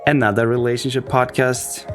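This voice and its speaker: A man from Austria reads a podcast promo in an earnest, measured way. His voice sits in a middle register. A clear music bed runs under the whole read. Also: medium pitch, little pitch movement. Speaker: podcast co-host.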